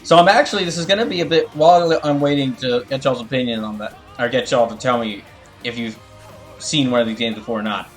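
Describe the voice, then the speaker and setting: A man talking casually to his livestream chat as a game streamer, halting and rambling as he corrects himself. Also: medium pitch, soft background music.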